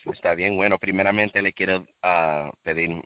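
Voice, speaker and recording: A man with a deep, low voice tells his faith story over a call, stopping and restarting his sentences as he reaches for words in his second language. The audio is dry and slightly muffled. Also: fairly even intonation, dry recording. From United States. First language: English. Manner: halting, with many restarts and fillers. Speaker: guest giving a testimony. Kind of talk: personal testimony on a podcast call.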